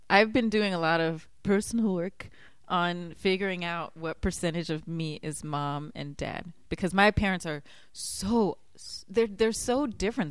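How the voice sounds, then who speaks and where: A woman's voice: on the low side, no room sound, clean studio sound. A woman from New Jersey with an American accent, chatting casually in a hesitant, giggly way. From New Jersey, United States. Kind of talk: casual chat.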